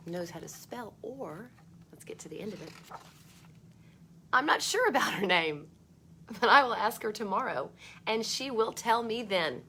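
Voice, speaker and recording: A woman hosts a children's storytime in a medium-pitched voice. She reads expressively and adds chatty asides aimed at young listeners. Ambient noise is clearly audible behind her. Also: a bit bright. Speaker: storytime host reading a picture book aloud.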